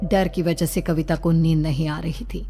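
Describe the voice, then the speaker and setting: Woman narrating horror, lower-pitched storytelling. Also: level tone, normal speed, warm tone, soft background music.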